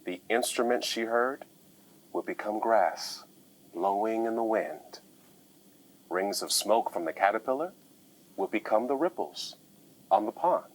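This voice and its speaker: Slow, expressive male storyteller. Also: lowish voice, poor audio. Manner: soft, measured, expressive storyteller. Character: gentle, patient man reading storybooks to children. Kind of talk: video game acting, children's story time.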